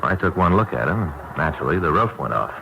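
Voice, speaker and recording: An actor playing a chatty, grumbling married American police detective in a naturalistic 1950s radio drama, rambling along in a folksy way. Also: male voice, extremely low pitch, big pitch swings, quick pace, vocal fry, sharp tone, highs cut off, full character voice. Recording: noisy old radio recording.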